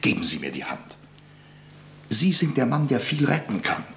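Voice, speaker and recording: An actor playing an earnest, humble middle-aged lawyer and monarchist, speaking solemnly in hushed, halting tones in a radio drama. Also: male voice, medium pitch, sing-song, a little hiss or hum. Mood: earnest, pleading.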